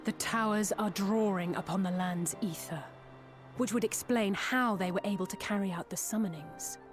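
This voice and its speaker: An actress voices a serious scholar in a game cutscene. She has a medium-pitched female voice and an analytical delivery, set over clear background music. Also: moderate pace, lightly acted. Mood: grave, analytical. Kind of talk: video game cutscene acting.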